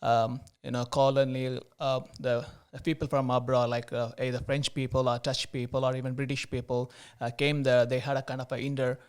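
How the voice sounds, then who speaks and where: Man, Tamil Indian accent, native Tamil speaker, smooth voice, hesitant, casual interview guest. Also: mid-range voice, little pitch movement, fairly quick, few pauses. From Tamil Nadu, India. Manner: hesitant, many fillers and restarts. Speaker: guest, religious brother in training.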